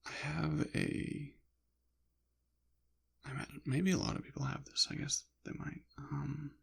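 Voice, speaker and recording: A man rambling softly in an ASMR style, slipping in and out of a whisper, with a hesitant, halting, apologetic manner. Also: on the high side, steady tone, creaky, slightly rough. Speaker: ASMR channel creator. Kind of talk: soft-spoken ASMR ramble, partly whispered.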